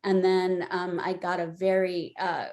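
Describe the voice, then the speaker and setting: A woman's voice: on the low side, measured pace. Candid woman telling her own story. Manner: candid, conversational, animated when quoting herself. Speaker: panelist. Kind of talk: telling a personal story in a webinar. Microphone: video call.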